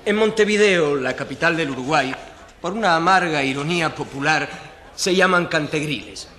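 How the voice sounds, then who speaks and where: A man's voice: a little high, moderate pace, pushed and bright, a little hiss or hum. A man acting a well-informed newspaper journalist who explains things in a conversational radio drama. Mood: informative. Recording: reverberant hall sound.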